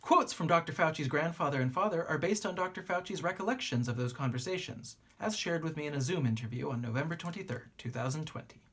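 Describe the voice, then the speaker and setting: A woman reading a children's book aloud like a teacher, with deliberate phrasing and expressive pauses. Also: deep voice, slightly rough.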